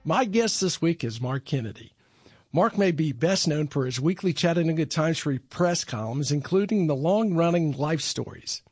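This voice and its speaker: A man's voice: fairly high pitch, expressive, moderate pace, a little mellow, husky, no room sound, studio quality, faint music behind. A man hosting a podcast, friendly and conversational as he introduces and interviews a guest. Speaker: podcast host.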